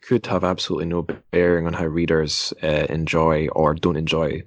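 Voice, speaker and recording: A man hosting a casual podcast interview, speaking in a hesitant, halting way. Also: rumbling low, completely flat delivery, no echo, dull audio. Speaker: podcast host.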